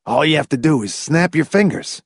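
Audiobook sidekick male reader in character: chummy beer lover, easygoing, sly, animated delivery. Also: fairly high pitch, moderate pace, vocal fry, slightly rough, dry recording, studio quality, voice pushed up, exaggerated voice. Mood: crafty, jovial. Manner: crafty, chummy. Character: crafty, easygoing beer-loving man, chummy.

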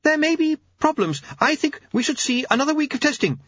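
A man's voice: extremely high pitch, sing-song, brisk, a bit bright, dry recording, highs cut off, pitched above the performer's own voice, exaggerated voice. A man voicing a tense, haughty aristocratic lady with precise, formal, controlled speech, in an audiobook. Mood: wary.

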